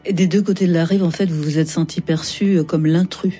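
A woman interviewer speaks in a somewhat low, dark voice with a breathy edge. She hosts a literary conversation in an engaged way, framing remarks and questions informally for her guest. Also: fairly even intonation, fairly quick, soft background music. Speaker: interviewer, book programme host.

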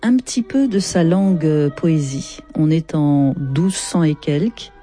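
A woman hosting a radio interview, with lively, wry remarks. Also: deep voice, unhurried, faint music behind. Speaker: radio host.

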